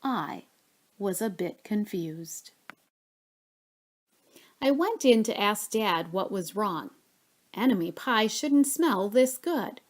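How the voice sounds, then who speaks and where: A woman reading a children's story aloud expressively, with dramatic pauses and stretched key words. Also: mid-range voice, expressive.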